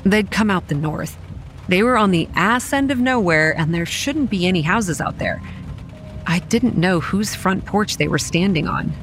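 A woman's voice: medium pitch, lively intonation, normal speed, soft background music, lightly acted. A young woman acting a wry, weary campground manager narrating a horror audio drama in a dry, exasperated way. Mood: grim, uneasy. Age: young adult. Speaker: narrator and lead character.

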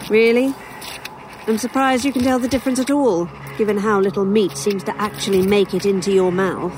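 A woman's voice: mid-range voice, steady tone, a little fast, background noise, lightly acted. An actress playing a weary, sardonic human woman in an audio drama, with dry sarcasm and some hesitation. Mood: dry, sarcastic. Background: outdoor ambience, crows, vehicles.

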